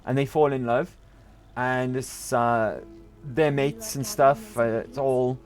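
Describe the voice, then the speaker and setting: A man's voice: mid-range voice, fairly even intonation, slow pace, faint music behind. Chatty Welsh man streaming. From Wales. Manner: rambling, chatty, answers chat. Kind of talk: casual gaming stream chat.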